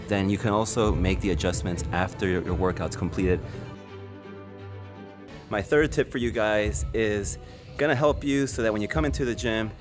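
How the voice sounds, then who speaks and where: A man in his thirties with a lowish voice gives relaxed step-by-step tips straight to the camera. He is recorded up close in a big indoor gym. Music plays steadily under his voice. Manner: relaxed, explanatory, addresses viewers directly. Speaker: fitness YouTuber. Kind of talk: casual how-to vlog. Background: background music.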